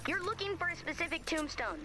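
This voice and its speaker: A woman's voice: very high-pitched, sing-song, fast talker, music in the background. An actress voicing a blunt, sardonic young woman in a spooky video game, with dry, teasing delivery. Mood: ominous.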